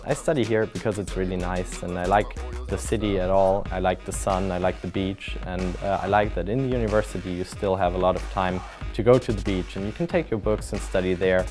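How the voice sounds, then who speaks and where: Young male student, lowish voice, relaxed pitch for the city, music underneath. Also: a little fast, hardly any pauses. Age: young adult. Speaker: medical student. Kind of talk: interview testimonial. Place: indoor library room.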